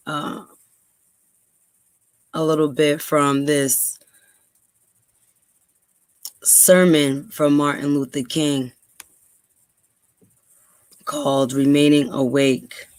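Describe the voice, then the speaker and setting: A woman's voice: sits low, darkish tone, clean tone, dry recording. Earnest New woman vlogger. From New York, USA. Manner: earnest, many ums and repeats. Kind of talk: casual talk to camera, then reading aloud.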